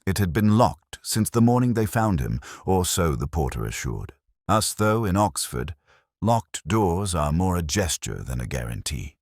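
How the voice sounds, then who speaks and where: Very deep, husky brooding detective voiced by a man. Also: very animated, darkish tone, no echo, studio quality, exaggerated voice. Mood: brooding, observant. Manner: measured, literary, reflective. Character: brooding male police inspector recounting a murder case. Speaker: narrator. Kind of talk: first-person scripted detective story narration.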